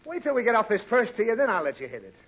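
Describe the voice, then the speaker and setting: High-pitched, exasperated radio-comedy dad. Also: male voice, very animated, very fast, a bit bright, slightly rough, heavily acted. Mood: harried. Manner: fussy, exasperated. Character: exasperated, flustered father of a pesky child. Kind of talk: 1930s radio comedy acting. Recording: dull, noisy 1930s radio recording.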